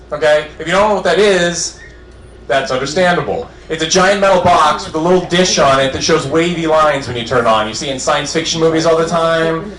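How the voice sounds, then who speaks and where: Wry New England comic in echoey club. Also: male voice, on the high side, fairly bright tone, slight background noise. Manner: wry, self-deprecating punchlines. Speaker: stand-up comedian. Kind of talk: stand-up set. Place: comedy club stage.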